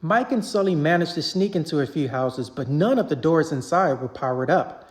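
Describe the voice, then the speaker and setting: A parent reading a children's story aloud in an animated storybook narration style. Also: medium pitch, moderate pace.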